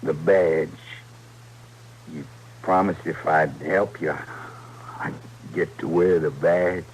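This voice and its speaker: Slow-witted, childlike Western outlaw. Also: male voice, low-pitched, fairly even intonation. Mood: wounded, childlike. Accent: American Western drawl. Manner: slow, halting, plaintive rural speech. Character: simple-minded, childlike young outlaw, beaten and trusting. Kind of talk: old-time Western radio drama acting. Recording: noisy vintage radio recording.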